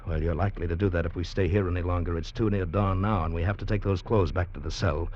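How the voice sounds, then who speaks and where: Deep-voiced, grave convict storyteller. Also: male voice, steady tone, a little mellow. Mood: urgent, reassuring. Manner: measured, dramatic storytelling. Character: grave male convict narrator in a tropical penal colony. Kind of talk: 1940s radio drama narration and acting. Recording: dull vintage radio recording with music behind.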